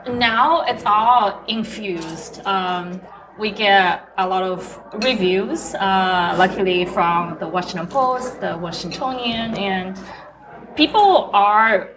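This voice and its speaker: A woman's voice: medium pitch, slow pace. A woman from the restaurant's family, cheerfully describing popular dishes at lunch. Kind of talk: casual lunch conversation. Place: restaurant table during a meal. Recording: distant voice in a noisy restaurant.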